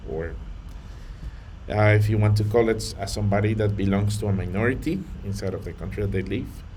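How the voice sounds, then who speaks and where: Guy vlogging to camera, earnest, measured, some uhs, bit of faint room hiss. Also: lowish voice, a little mellow. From Colombia. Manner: earnest, measured, with fillers and restarts. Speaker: vlogger on data careers. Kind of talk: to-camera talk.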